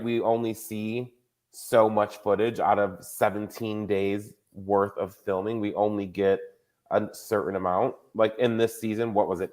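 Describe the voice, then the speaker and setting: Male podcast host, 30s, lowish voice, loose filler-heavy chat. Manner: chatty, lots of filler words. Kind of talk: casual chat.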